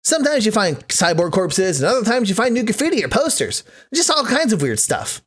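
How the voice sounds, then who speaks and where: A man's voice: sits high, big pitch swings, brisk, fairly bright tone, pitched above the performer's own voice, full character voice. A man voicing a cheerful, casual janitor in a visual novel, chatty and evasive in a breezy way. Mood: amused, evasive.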